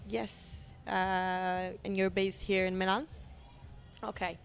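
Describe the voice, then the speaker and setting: Slow, halting woman interviewer. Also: medium pitch, little pitch movement, soft background music. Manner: halting, rephrases questions. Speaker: radio interviewer. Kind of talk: casual interview questions.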